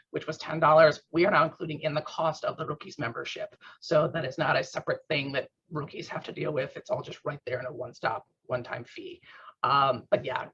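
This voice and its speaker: A man explaining club updates in an online meeting, talking informally with lots of fillers, his voice rough and strained after a workout. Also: on the high side, bright, forceful tone, a little hiss or hum. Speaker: club board secretary. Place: at home on a video call. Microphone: computer mic on a video call. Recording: muffled video call audio.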